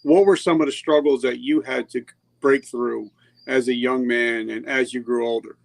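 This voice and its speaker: Gravelly-voiced man hosting a podcast. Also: medium pitch, steady tone, moderate pace, low-quality recording. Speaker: podcast host. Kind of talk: conversational interviewing.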